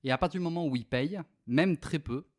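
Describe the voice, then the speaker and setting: A French-born man in his thirties, guest on an interview podcast, talking in a lively, rambling way with many fillers. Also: a little high, a little fast. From France. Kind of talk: casual interview chat.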